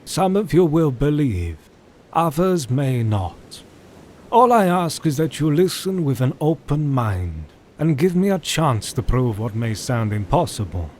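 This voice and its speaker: A grave, slow-speaking old mentor doctor. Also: male voice, mid-range voice, very animated, a little mellow. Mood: grave, urgent. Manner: formal, deliberate, theatrical. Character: grave older male doctor and mentor, solemn vampire hunter. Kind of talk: audio drama acting.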